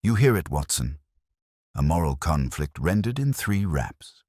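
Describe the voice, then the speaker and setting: A cool-headed male detective as a man narrator plays him with a very deep, husky voice. He speaks in precise, declarative lines that swing theatrically in pitch, as in a mystery audiobook. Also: very animated, unhurried, darkish tone, no echo, studio quality, exaggerated voice. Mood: measured, assured.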